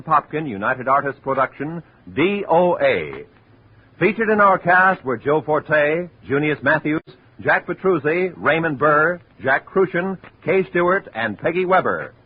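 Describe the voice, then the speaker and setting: A man announcing radio program credits in a smooth, formal manner. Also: a little high, animated, moderate pace. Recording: tinny old radio broadcast with music.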